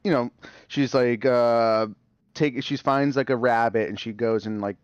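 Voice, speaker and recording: Kinda muffled dry mic, guy rambling casually on a podcast, swears, average pitch. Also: steady tone, a little slow, clear, resonant tone, dry recording. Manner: informal, sweary, rambling with repeated words. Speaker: podcast co-host. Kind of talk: casual chat about TV and games.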